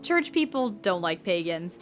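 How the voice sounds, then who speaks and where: A woman's voice: on the low side, very animated, a little slow, soft background music. An actress playing a wry, casual woman who is jaded about church, in naturalistic audio drama dialogue. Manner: dry, casual, joking.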